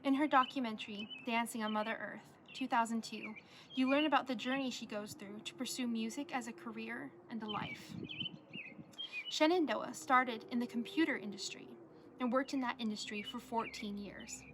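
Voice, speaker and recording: A young woman, a college student, reading her own essay aloud as a presentation in an earnest, measured way. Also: a little high, noisy background. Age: young adult.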